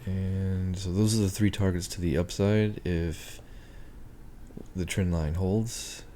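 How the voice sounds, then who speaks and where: A man walking through market charts on a screen share, thinking aloud with many ums and a hoarse voice. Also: low voice, steady tone, slow pace, mellow, slight background noise. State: hoarse, clearing his throat. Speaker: market analyst.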